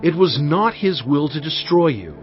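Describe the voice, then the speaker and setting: An actor playing a solemn prophet in dramatized scripture, exhorting his people in earnest, measured oratory with weighty pauses. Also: a little high, sing-song. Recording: dull studio track over faint music.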